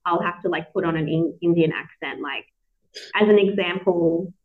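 A woman in her thirties with an Australian accent, hosting a casual chat, lively and quick to laugh. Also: fairly low pitch. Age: 30s. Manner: chatty, animated, laughs a lot. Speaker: podcast host. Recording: tinny phone-like call audio.

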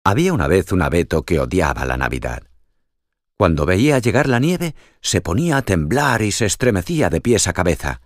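A man's voice: low-pitched, sing-song, a little fast, no echo, studio quality. A man narrating a scripted children's story as a storyteller.

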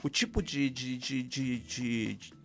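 A man's voice: mid-range voice, fairly even intonation, takes it slowly, gravelly, fairly bright tone, faint music behind. Chatty man with Ukrainian roots. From Paraná, Brazil. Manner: rambling, frequent restarts and "né?" tags. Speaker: filmmaker guest. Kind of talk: casual interview chat.

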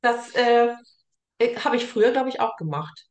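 A woman's voice: on the high side, expressive, normal speed. A woman interviewing casually and informally, often tagging her sentences with little questions for agreement. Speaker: interviewer, host. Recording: roomy echo on the voice.

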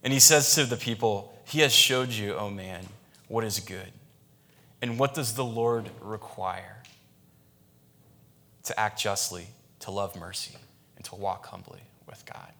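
A man's voice: lowish voice, measured pace, vocal fry, sharp tone. A man, a pastor, giving a sermon in a conversational style, with frequent restarts and fillers.

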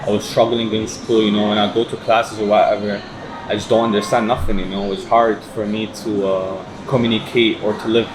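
A man's voice: fairly low pitch, level tone, a little fast. Laid-back 19-year-old guy, noisy room. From Iraq, raised in Canada. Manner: easygoing, repeats himself, says "bro". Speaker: guest. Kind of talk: casual interview chat. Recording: noisy, air conditioning hum.

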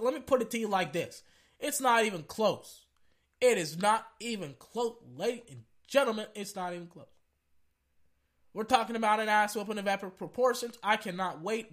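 Young guy with a sore throat doing a sports podcast, high voice, bright and pushy, hypes everything. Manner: brash and excitable, hypes with "ladies and gentlemen". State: sore throat, losing his voice. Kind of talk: casual sports podcast talk.

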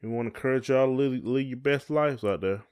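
A man's voice: medium pitch, fairly quick, darkish tone, clean tone. A middle-aged man, chatting casually as a podcast co-host in a folksy, encouraging way.

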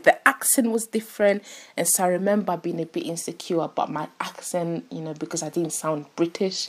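A woman's voice: fairly low pitch, a little mellow. A woman in her twenties from France, chatting casually and laughing at herself as she introduces her podcast. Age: 20s. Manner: chatty, giggly, self-deprecating. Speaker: podcast host.

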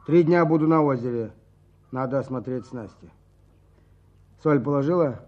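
A man's voice: mid-range voice, moderate pace, natural voice. An actor playing a stubborn, grumbling village fisherman in a radio drama, blustery and repeating his words. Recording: dull muffled radio play recording.

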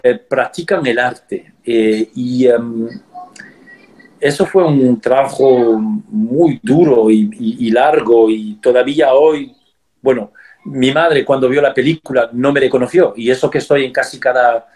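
Slow, thoughtful man being interviewed. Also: mid-range voice, darkish tone, low-quality recording. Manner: thoughtful, halting, many pauses and restarts. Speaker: actor, interview guest. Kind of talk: reflective interview answers.

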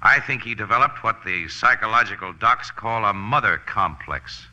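An actor playing a brash, slangy American newspaper photographer in a punchy 1940s radio drama style. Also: male voice, fairly low pitch, lively intonation, moderate pace, sharp tone, highs cut off. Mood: confident, insistent. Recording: old radio broadcast recording.

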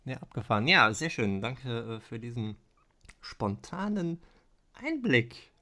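A man hosting a casual podcast interview, relaxed and easygoing, often repeating "verstehe" and clearing his throat. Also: medium pitch, a little slow. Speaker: podcast host.

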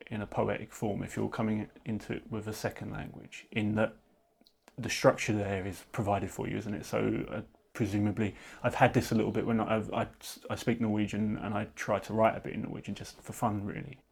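Man, podcast host, low voice, husky and gravelly, rambling chatty questions. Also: flat delivery, a little fast, darkish tone. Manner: rambling, thinks aloud, loose and chatty. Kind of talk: podcast interview.

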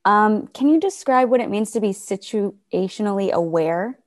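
A woman's voice: mid-range voice, lively intonation, moderate pace. A woman speaking as an interviewer in a conversational style.

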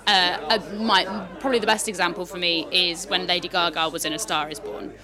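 Woman, lowish voice, chatty film review, pub crowd chatter behind. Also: a little fast. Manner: chatty, animated, many restarts. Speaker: podcast co-host. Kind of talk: casual film review chat.

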